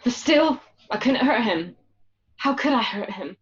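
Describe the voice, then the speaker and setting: A woman's voice: fairly high pitch, lively intonation, unhurried, dull audio. A woman playing a suspicious, wounded gangster's wife in a radio drama, emphatic and confrontational. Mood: hurt recounting. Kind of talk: amateur radio drama acting.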